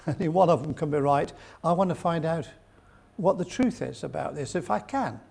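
Older man with a gravelly voice. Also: fairly high pitch, expressive, normal speed, darkish tone. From England. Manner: rambling, with chuckles and restarts. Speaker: guest. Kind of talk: storytelling interview.

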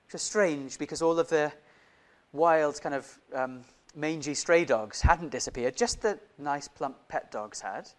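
A man's voice: on the high side, measured pace. A man who grew up in Indonesia, preaching a sermon in a conversational way, often putting questions to his listeners.

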